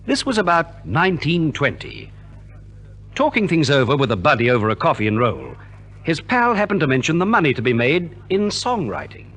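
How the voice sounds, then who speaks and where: A male radio host reads scripted narration in a polished, theatrical 1950s manner. His voice sits somewhat high and swings with very lively inflection. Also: normal speed. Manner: theatrical, polished announcer delivery. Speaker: narrator and host of a music program. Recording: old 1950s radio recording.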